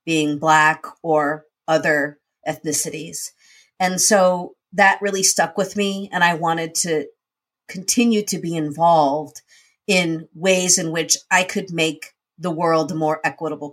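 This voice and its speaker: A woman with a fairly low voice speaks in a relaxed interview. She tells her own story in an earnest, reflective way, with her pitch sitting a little under the usual. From United States. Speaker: guest, nonprofit founder and CEO. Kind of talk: conversational interview.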